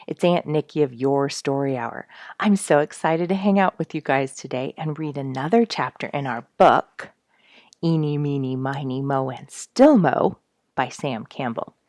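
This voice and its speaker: A woman's voice: a bass voice, moderate pace, darkish tone, no room sound, studio quality. A woman hosting a story reading, telling a book chapter expressively to young listeners with emphatic, friendly storyteller phrasing.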